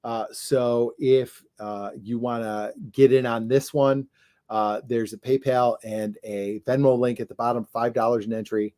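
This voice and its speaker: A man co-hosts a casual livestream with a chatty, joking manner. His voice sits at a middle pitch. He often trails off mid-thought and restarts. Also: fairly even intonation, unhurried, darkish tone. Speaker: co-host.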